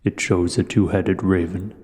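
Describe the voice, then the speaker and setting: Very deep, slow, imperious dragon. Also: male voice, little pitch movement. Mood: intrigued, measured. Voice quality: deep, dark voice. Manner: slow, deliberate, formal, pompous. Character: huge male dragon, imperious, menacing, learned, coolly curious. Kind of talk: scripted audio roleplay voice acting. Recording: long cavernous reverb.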